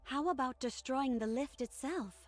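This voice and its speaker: An actress playing a proud, vain but gentle young heroine in an anime game. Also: female voice, high-pitched, normal speed. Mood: calm. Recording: game music behind.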